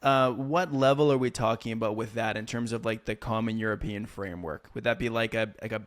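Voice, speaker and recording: A man's voice: lowish voice, fairly even intonation, fairly quick. A man hosting an interview, asking curious, friendly questions in loose phrasing with fillers. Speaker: interviewer, show host.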